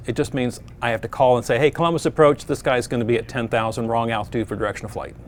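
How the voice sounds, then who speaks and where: A middle-aged man, an air traffic controller, giving plain practical advice to pilots with frequent fillers. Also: mid-range voice. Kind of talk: explaining procedures to pilots.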